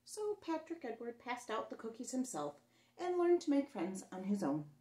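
A middle-aged woman performs a read-aloud for little children. Her pitch moves widely and she leans into dramatic emphasis and pauses. The room adds noticeable echo around her voice. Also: very animated, dark tone. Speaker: children's storyteller reading a picture book aloud. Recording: roomy echo on the voice.

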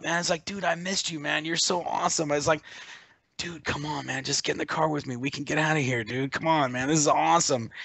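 A man in his forties on a video call, casually telling a story in an animated way and acting out what others said. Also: medium pitch, no room sound. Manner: animated, acts out quoted voices, laughs as he tells it. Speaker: interview guest.

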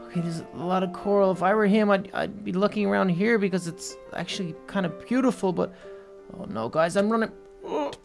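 A voice actor playing an excitable, anxious young superhero friend, stammering and exclaiming through an improvised adventure story. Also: mid-range voice, brisk, creaky, faint music behind, natural voice. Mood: worried. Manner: stammering, exclamatory, talks to viewers as guys.

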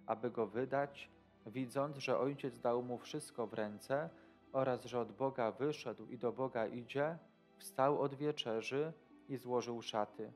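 A man in his thirties speaking as a priest in devotional commentary, deliberate and halting. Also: medium pitch, fairly even intonation, a little mellow, faint music behind. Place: indoor studio.

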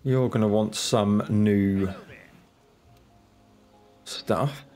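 A man's voice: on the low side, fairly even intonation, very slow, mellow, soft background music. A man hosting a casual let's-play, congested from a cold, talking in a laid-back, muttering, self-deprecating way into a desk microphone. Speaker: gaming channel host. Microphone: desk microphone, off camera.

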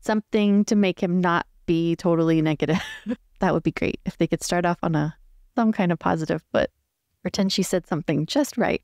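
A woman's voice: fairly low pitch, a little fast, darkish tone, no echo. A giggly, informal woman chatting casually as a player in a tabletop story game. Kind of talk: casual game chat and improvised roleplay. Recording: dry, faint music behind.

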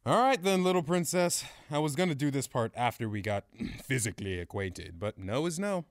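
A woman's voice: rumbling low, animated, moderate pace. A woman playing a menacing, vulgar male mobster with a mocking, swaggering manner in a crime comedy audio drama. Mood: smug threat.

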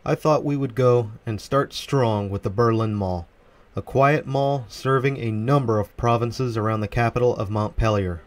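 A man's voice: mid-range voice, moderate pace. A man delivering scripted, documentary-style narration for a walkthrough video. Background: background music.

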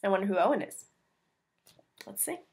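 Female American teacher, mid-range voice, expressive picture-book read-aloud with simple asides for kids. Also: medium pitch, brisk. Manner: expressive, explains things simply for children. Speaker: teacher reading a picture book to her class. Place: small room at home with bookshelves.

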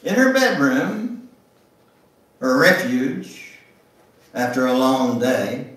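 An older man giving a dramatic memoir reading in a deliberate, theatrical storytelling manner. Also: medium pitch, sing-song, fairly bright tone. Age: older, 70s or above. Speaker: guest speaker reading aloud. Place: church sanctuary, at a lectern. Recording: echoey room, recorded from far off.

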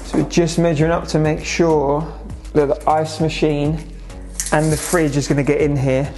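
Young guy from england walking thru a reno, pretty flat voice, lots of so and obviously, bit of music behind. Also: fairly high pitch, moderate pace. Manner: casual, matter-of-fact, lots of "so" and "obviously". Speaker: restaurant owner. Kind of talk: vlog update on a restaurant renovation. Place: restaurant under renovation, indoors.

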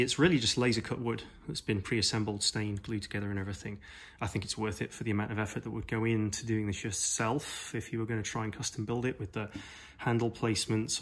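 A man's voice: medium pitch, a bit bright, slight background noise. A man giving a casual, rambling product review at home, with frequent ums and uhs, filmed on a phone. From New Zealand. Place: home gaming room, indoors. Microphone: phone camera, off screen.

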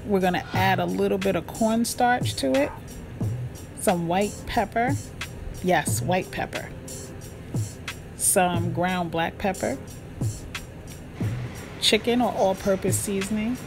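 A woman with a middle-pitched voice walks viewers through a home recipe in a chatty, upbeat way, talking straight to them. Clear music plays under her voice-over. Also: takes it slowly, pauses often. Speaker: cooking blogger and channel host.

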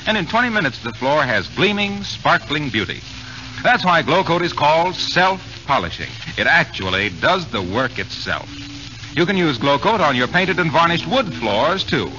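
A man's voice: fairly high pitch, sing-song, moderate pace, pushed and bright. An American man announcing and selling products in a polished 1940s radio advertising style. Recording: slightly noisy, muffled 1940s radio recording.

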